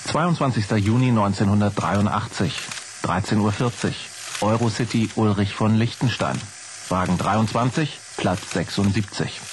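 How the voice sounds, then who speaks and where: A man's voice: fairly low pitch, normal speed, noisy background, lightly acted. An actor playing a terse male announcer who reads out log entries of dates and places in a radio play, clipped and factual.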